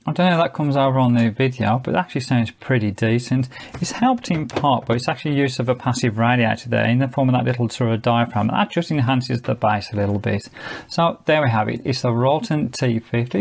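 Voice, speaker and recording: A man's voice: medium pitch, fairly quick, creaky. A man giving a casual, hands-on product review in an understated, matter-of-fact and candid way.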